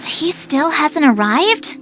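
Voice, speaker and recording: Slow, high-voiced impatient fan. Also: female voice, sing-song, over music, full character voice. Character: impatient female fan awaiting her hero. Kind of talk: video game voice acting.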